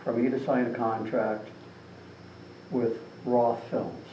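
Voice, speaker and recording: A man's voice: mid-range voice, little pitch movement, slow pace, highs cut off. A man speaking casually as an author at a meeting, rambling with lots of "you know". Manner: rambling, filler-heavy, with frequent "you know". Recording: distant mic in an echoey room with some noise.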